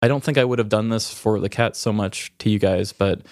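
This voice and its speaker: A man who hosts a podcast, talking candidly and haltingly in an emotional, reflective way while grieving. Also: fairly low pitch, no room sound, studio quality. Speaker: podcast host. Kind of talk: raw, candid personal conversation.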